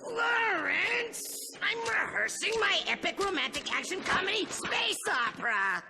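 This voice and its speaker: A hammy, shouting robot villain. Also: male voice, very high-pitched, big pitch swings, unhurried, gravelly, background noise, exaggerated voice. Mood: gleeful. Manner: shouting grandly. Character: pompous theatrical robot villain, male, adult. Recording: game soundtrack with loud music.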